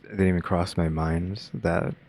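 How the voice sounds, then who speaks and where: A man's voice: deep voice, steady tone, darkish tone, no echo, clean studio sound. A man casually commenting on a video he is reacting to, rambling with many fillers and thinking aloud. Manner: rambling, many fillers, pace jumps between slow and rushed.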